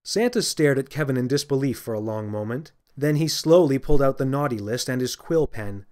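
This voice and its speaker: Expressive male children's storybook narrator. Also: mid-range voice, fairly quick. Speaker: author reading own children's audiobook.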